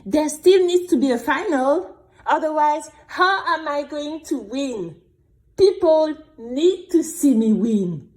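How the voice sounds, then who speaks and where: A woman acts an entitled young female singing contestant in an audio drama. She is whiny and insistent, with a high, swooping pitch. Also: animated, slow pace, darkish tone. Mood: petulant.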